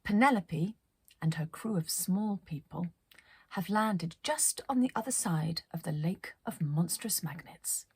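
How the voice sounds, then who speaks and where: A woman hosting storytime, narrating a children's story expressively and deliberately, with hushed phrase endings. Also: fairly low pitch, slightly rough, dry recording. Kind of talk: animated children's story reading.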